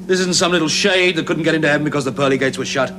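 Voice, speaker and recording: An actor playing a forceful male research team leader who talks in a brisk, commanding way, in a 1970s television drama. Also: a little high, close to an everyday voice. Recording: hissy old TV soundtrack.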